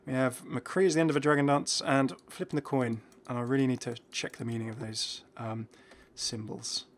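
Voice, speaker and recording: A man's voice: medium pitch, fairly even intonation, normal speed, a little hiss or hum. A man hosting a gaming video with casual, unscripted commentary in a chatty, slightly hesitant way as he reacts to what he sees.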